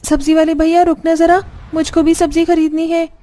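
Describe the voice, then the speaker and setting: Young cartoon daughter-in-law, high mellow voice, put-upon, polite on the surface, grumbles constantly. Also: female voice, flat delivery, normal speed. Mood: eager, polite. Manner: complaining, muttering to herself, polite to elders. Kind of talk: Hindi cartoon story acting.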